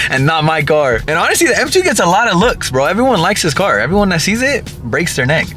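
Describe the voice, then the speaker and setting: A young man vlogging casually from a car and a car meet, excited and slangy, laughing often. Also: sits high, fairly quick. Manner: excited, laughing, slangy, says bro a lot. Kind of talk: casual vlog narration while driving. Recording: music loud behind the voice. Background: loud music and car noise.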